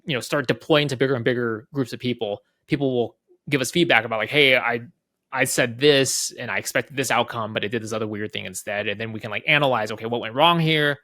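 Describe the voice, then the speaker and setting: A man speaks as an interview guest in a dry, close recording. He explains his work quickly in a mid-pitched voice, thinking aloud in a casual, conversational way and often checking in with a "right?". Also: sharp tone, dry recording. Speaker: head of product, interview guest.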